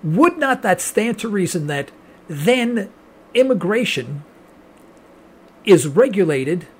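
Stammering older man with a husky voice. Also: sits high, very animated, takes it slowly. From New York. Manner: rambling, stammering with repeated words, joking. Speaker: radio host. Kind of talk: casual interview.

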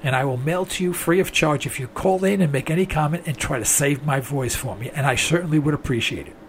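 A man with a hoarse, strained voice hosts a call-in radio show, sounding worn down by a throat infection. His fairly high voice carries a gravelly edge. He rambles in a folksy way, calling his listeners folks. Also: fast, a little hiss or hum. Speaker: radio talk show host.

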